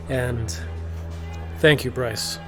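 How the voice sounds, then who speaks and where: Benedict, a soft-spoken young squire, voiced by a man. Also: mid-range voice, fairly even intonation, extremely slow, over music. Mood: earnest. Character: young earnest male squire, grateful and humble.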